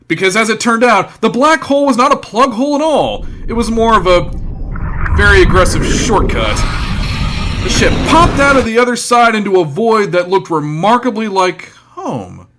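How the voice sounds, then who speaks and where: An actor playing a wry, droll narrator of a comic sci-fi audio drama, telling it theatrically. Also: male voice, high-pitched, animated, normal speed, background noise. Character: wry, droll omniscient male storyteller.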